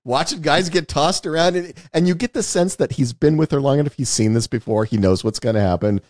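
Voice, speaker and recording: A man's voice: on the high side, a little fast, darkish tone, dry recording, clean studio sound. A man co-hosting a casual film discussion, telling anecdotes in a chatty way and acting out little quotes. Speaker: podcast co-host discussing films.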